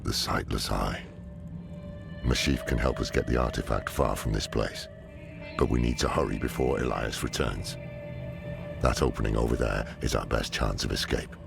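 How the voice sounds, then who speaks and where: An actor playing a grim, weathered old scholar and demon hunter in a video game, weary and deliberate, with a gravelly voice. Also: male voice, rumbling low, exaggerated voice. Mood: urgent, commanding. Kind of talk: dramatic video game cutscene acting. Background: dramatic game music.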